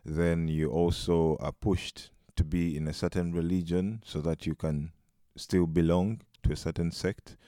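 A man's voice: low voice, fairly even intonation, measured pace, few pauses, dark tone, dry recording, studio quality. A man from Uganda with a Ugandan accent, reflecting in a discussion and posing rhetorical questions. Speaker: podcast guest.

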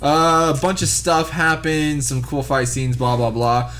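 Man host, casual rambling film chat, slightly high voice. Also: flat delivery, a little slow, a bit bright, slight background noise. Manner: rambling, full of likes and self-interruptions. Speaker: podcast host. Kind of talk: casual movie-review chat.

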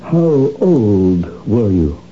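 An actor playing a calm psychiatrist questioning a patient in a 1970s radio drama, soothing and measured. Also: male voice, lowish voice, slight background noise, exaggerated voice. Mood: probing. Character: calm, probing male psychiatrist. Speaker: doctor. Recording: dull old radio broadcast.

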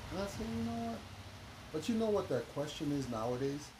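A man's voice: fairly high pitch, big pitch swings, brisk. Man preaching in an echoey room. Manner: emphatic, preachy, full of "you know" fillers. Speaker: guest minister and educator. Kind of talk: conversational podcast interview. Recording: echoey room with background noise.

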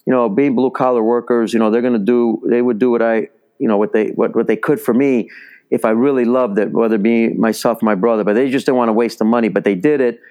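Smooth-voiced man telling stories. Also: mid-range voice, fairly even intonation, quick pace, a little mellow. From Chicago, US. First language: Italian. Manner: rambling, many fillers and restarts. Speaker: baseball instructor, podcast guest. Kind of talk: casual interview storytelling.

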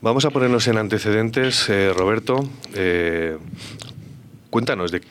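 A man's voice: lowish voice, measured pace, a little hiss or hum. A man interviewing a guest, asking probing questions with frequent hesitations and filler sounds.

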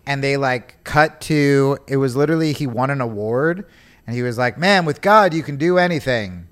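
A man's voice: mid-range voice, normal speed. A young man hosting a podcast, chatting casually and joking, acting out quoted voices. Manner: animated, joking, acts out quotes. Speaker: podcast host. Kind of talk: casual comedic chat.

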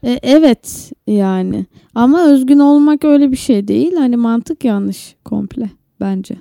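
A woman's voice: on the high side, measured pace, gravelly, warm tone, no echo, studio quality. A woman, co-hosting a podcast in casual, opinionated chat. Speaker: podcast co-host.

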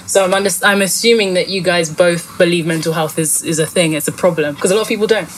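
A woman's voice: on the low side, fairly even intonation, fast talker, slight background noise, poor audio. A young woman hosting a casual podcast chat, asking long rambling questions and correcting herself as she goes. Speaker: podcast host.

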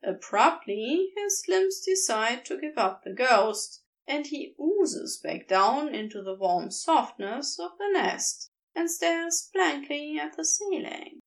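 A woman narrating a fan fiction story aloud in an expressive, measured storytelling manner. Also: high voice. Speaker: podfic reader.

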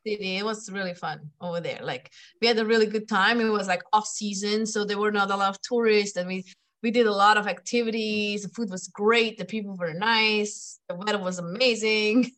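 A woman's voice: medium pitch, steady tone, fairly quick, fairly bright tone. Swiss lady chef talking easy, lots of "you know", middle-aged. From Switzerland. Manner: chatty, laughs often, fillers like "you know". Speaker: chef, interview guest. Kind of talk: casual interview.

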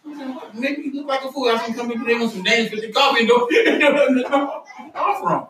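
A man's voice: very high voice, brisk, distant mic. A middle-aged or older man casually telling family stories in a teasing, colloquial way. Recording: very echoey room, faint noise.

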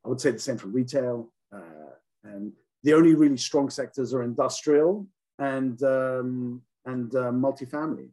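A man's voice: mid-range voice, dark tone. A man who grew up in England, talking casually as an interview guest about leasing offices, with frequent ums and restarts. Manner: halting, many ums and restarts. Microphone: call audio.

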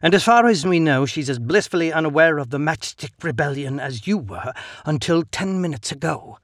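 A man's voice: a little high, expressive, moderate pace, no room sound, clean studio sound, pitched above the performer's own voice. A man voicing a stern female sergeant who speaks in a curt, authoritative way. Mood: explaining.

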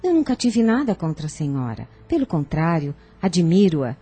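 Actress as a low-voiced kindly aunt. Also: female voice, sing-song, moderate pace, slightly rough, full character voice. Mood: kind, welcoming. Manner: gentle, maternal. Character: kind, hospitable older aunt, composed and welcoming. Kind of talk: radio drama acting.

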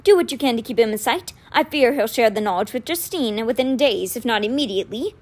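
A woman voicing a kindly, wise old man in an audiobook, patient and measured, with a gentle gravity. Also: on the high side, animated. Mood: courteous, earnest. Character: kindly, wise old male magician mentor, gently grave.

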